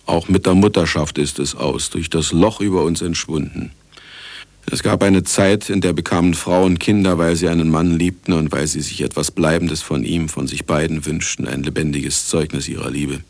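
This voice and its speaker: An actor playing an aging, obsessive man in a German radio drama, gravelly, theatrical and insistent. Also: very deep voice, fairly even intonation, moderate pace, darkish tone, no echo, heavily acted. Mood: declamatory. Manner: theatrical, insistent, swinging between coaxing and brooding.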